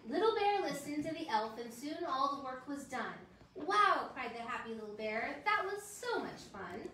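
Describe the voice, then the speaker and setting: A woman in her thirties reading a children's picture book aloud to a small audience, a lively storyteller. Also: high-pitched, normal speed, a little hiss or hum. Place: hotel lobby with Christmas tree and seated guests. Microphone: camera across the room. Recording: roomy echo, voice from a distance.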